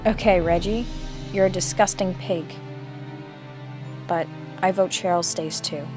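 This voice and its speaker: Principled, blunt teen girl, lowish voice over music; woman acting, audio drama. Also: measured pace, lightly acted. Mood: disgusted, firm. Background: music behind the voice.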